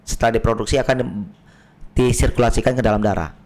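A man, a doctor, explaining medical tests on a podcast in a patient, plain way with everyday analogies, his voice slightly hoarse. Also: mid-range voice, steady tone, quick pace, darkish tone. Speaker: doctor guest. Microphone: podcast microphone.

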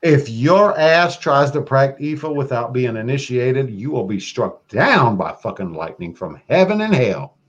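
A man's voice: medium pitch, very animated, unhurried, muffled recording. A man chatting as a podcast guest, flamboyant and profane, calling people baby and girl. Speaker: guest, occult practitioner. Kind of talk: casual podcast chat.

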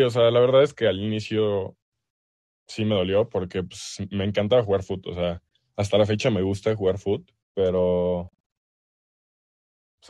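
A young man with a low-pitched voice and a Mexican accent speaks casually, as a player being interviewed. The sound is dry, with very little room around it. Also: darkish tone, no echo. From El Salvador.

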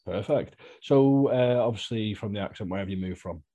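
A man hosting a podcast, chatty and relaxed, with lots of fillers and easy laughs. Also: fairly low pitch, moderate pace. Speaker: podcast host. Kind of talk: casual interview chat.

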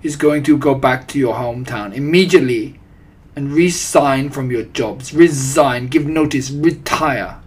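A tyrannical grand witch, acted out by a man for a storybook, husky and loud. She rants imperiously in broken English, thundering out orders with deliberate menace. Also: mid-range voice. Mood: commanding. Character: tyrannical, ranting female head witch with foreign grammar. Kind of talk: audiobook character voice.

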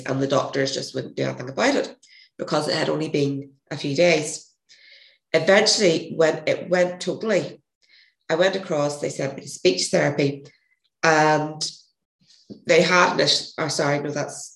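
A woman with a gravelly, hoarse voice, a podcast guest telling a rambling story and correcting herself as she goes. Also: sits low. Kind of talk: casual interview storytelling. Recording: echoey room.

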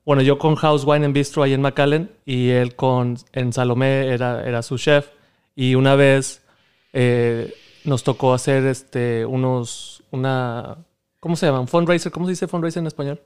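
A man with a clear, smooth voice and a Mexican accent hosts a casual chat. His pitch sits in the middle, and he talks in a slangy, teasing, sweary way, like a cook bantering with colleagues. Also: fairly even intonation, normal speed, darkish tone. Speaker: podcast host and fellow cook.

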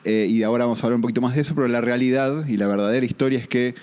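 A middle-aged man with an Argentine accent tells stories from his career in a relaxed, unhurried way. His voice sits at a medium pitch. He is a guest speaking in an office. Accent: Argentine Spanish. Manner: relaxed, easygoing storyteller with frequent "eh" fillers. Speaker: guest entrepreneur. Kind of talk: casual storytelling interview.

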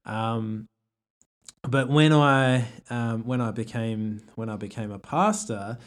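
An Australian man talks casually on a podcast at a middle pitch. He thinks aloud as he goes, hesitant and full of restarts. His voice is that of a pastor musing rather than preaching. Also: takes it slowly.

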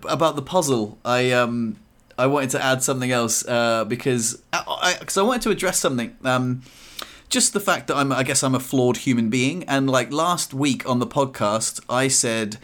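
A man in casual podcast chat, laughing easily and reacting warmly to his co-host. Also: medium pitch.

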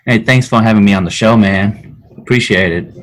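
A man in his thirties from Vietnam talks through his life story as a podcast guest. His voice sits very low, and he rambles in an easygoing way, full of fillers. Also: moderate pace. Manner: relaxed storytelling with many fillers. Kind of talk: casual chat.

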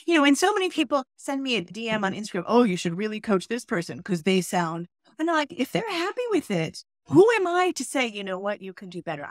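A woman's voice: mid-range voice, no echo, clean studio sound. A woman, an English pronunciation coach telling stories with enthusiasm in a podcast interview. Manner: enthusiastic, animated storyteller.

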